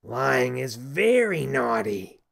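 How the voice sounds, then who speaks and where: A man voicing a miserable, grumpy little male elf in a children's audiobook, creaky and pinched, complaining and sneering. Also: mid-range voice, big pitch swings, takes it slowly, a bit bright, exaggerated voice. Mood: stern, accusing.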